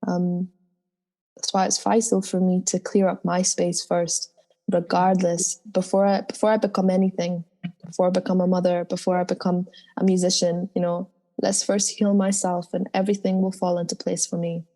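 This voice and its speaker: A woman with a deep, soulful voice talks earnestly about spiritual matters, her pitch sitting low and her tone warm and mellow. She speaks in a reflective, interview manner. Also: level tone, normal speed. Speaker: guest singer.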